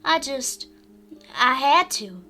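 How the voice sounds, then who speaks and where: A woman playing a sharp-tongued fifteen-year-old girl in a radio drama, guarded and pointedly polite. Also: high voice, expressive, takes it slowly, soft background music, exaggerated voice. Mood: reluctant confession.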